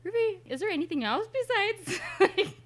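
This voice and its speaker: A woman's voice: very high-pitched, animated, normal speed. A woman with a Californian American accent, chatting casually and telling personal stories, often acting out bits of dialogue. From San Francisco Bay Area, United States. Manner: chatty, quotes dialogue as she tells stories. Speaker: interview guest, kitchen manager. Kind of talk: casual conversational storytelling.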